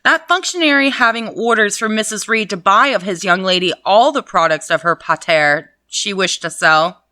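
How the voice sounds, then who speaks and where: Bright-voiced woman reading a novel aloud. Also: mid-range voice, moderate pace, no echo. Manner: laughs often, swears, chatty asides. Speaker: reader and host. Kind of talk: casual book reading with commentary. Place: at home.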